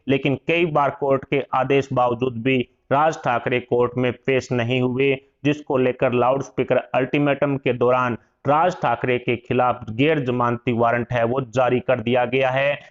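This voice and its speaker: Man narrating news, dull lo-fi sound. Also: medium pitch.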